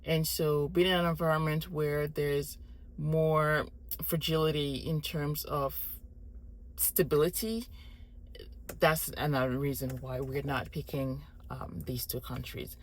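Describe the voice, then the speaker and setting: A woman's voice: low voice, fairly even intonation, a little slow. A woman in her thirties, born in Morocco, explaining her family's decisions in a thoughtful, methodical way to camera. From born in Morocco, Congolese heritage. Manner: thoughtful, methodical, frequent restarts and listing. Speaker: family relocation vlogger. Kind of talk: explanatory casual talk to camera.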